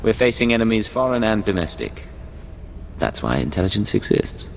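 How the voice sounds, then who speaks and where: Male spy lead for a game: suave, swinging intonation, gravel, cocky charm, reverberant sound. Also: very animated, moderate pace, a little hiss or hum. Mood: smug, flirtatious. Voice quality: deep, gravelly. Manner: smooth, self-assured. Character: suave, cocky, flirtatious male Imperial secret agent. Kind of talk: dramatic video game acting. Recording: long reverb under music.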